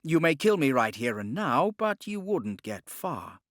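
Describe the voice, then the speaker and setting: A man voicing a smug, unruffled gentleman agent of a secret order in an audiobook, with a polished, formal, unhurried manner. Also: on the high side, big pitch swings, dry recording, clean studio sound, pitched above the performer's own voice. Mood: composed, assured.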